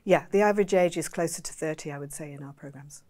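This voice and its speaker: A woman's voice: sits low, fast talker, a little mellow. A woman representing a business school program, answering questions emphatically with parallel lists. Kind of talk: interview answers.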